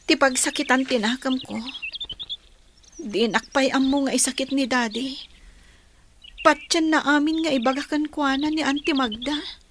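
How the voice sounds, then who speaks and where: A woman playing a gentle, sorrowful, dutiful young daughter in a radio soap drama. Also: high voice, fairly even intonation, normal speed, long pauses, a little hiss or hum. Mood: soft, wistful.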